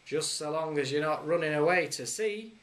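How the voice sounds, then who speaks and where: A man's voice: a little high, normal speed, a bit bright, voice pushed up, close to an everyday voice. A man voicing a jovial male policeman who questions two boys in a playful, mock-stern way. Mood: teasing.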